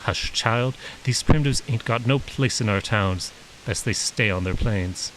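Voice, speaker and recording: A man voicing a suspicious, bigoted frontier mother with sharp-tongued, folksy rural speech in a story reading. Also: fairly low pitch, measured pace, a little hiss or hum, low-quality recording. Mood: hostile, scolding.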